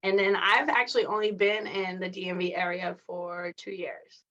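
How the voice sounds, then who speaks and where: A woman's voice: on the low side, flat delivery, normal speed, fairly bright tone. Chatty woman coach, dull audio. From Mexico, raised in California. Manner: candid, chatty, many fillers like "like" and "you know". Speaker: guest, coach and athletic director. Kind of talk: casual interview conversation.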